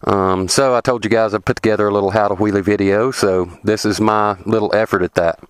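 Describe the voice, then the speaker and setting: A man with a Southern US accent giving a rambling, relaxed how-to talk outdoors on a remote forest road, a little congested from a cold. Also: lowish voice, a little fast, smooth, no echo. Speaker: motorcycle vlogger. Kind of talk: casual how-to talk to camera. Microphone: helmet camera.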